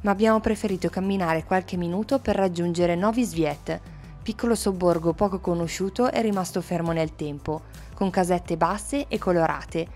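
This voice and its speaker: A woman's voice: fairly low pitch, darkish tone. A woman, a travel vlogger reading a scripted travel narration. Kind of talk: scripted travel narration, some casual on-location talk.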